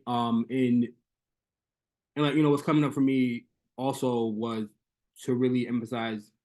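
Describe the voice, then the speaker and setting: A man's voice: medium pitch, darkish tone. A man presenting research in an academic Q&A on a video call, talking hesitantly with many fillers and restarts.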